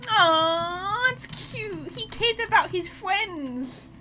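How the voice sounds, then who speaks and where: Bright, animated high-voiced woman streaming a game. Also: very slow, slight background noise. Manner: playful, chatty, thinking aloud, swears. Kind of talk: casual gameplay commentary. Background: video game sounds.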